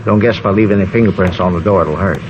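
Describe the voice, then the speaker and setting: An actor playing a fussy, eager, nosy homeowner in a 1950s radio drama, rambling and repeating himself. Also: male voice, low-pitched, very fast, lightly acted. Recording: dull, noisy old radio recording.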